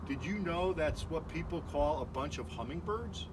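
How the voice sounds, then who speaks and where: A man's voice: fairly high pitch, lively intonation, normal speed, pitched above the performer's own voice, close to an everyday voice. A man voicing a sarcastic, bossy female crow in a children's storybook. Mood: sardonic. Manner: commanding, sardonic. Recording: echoey, reverberant room with noticeable noise.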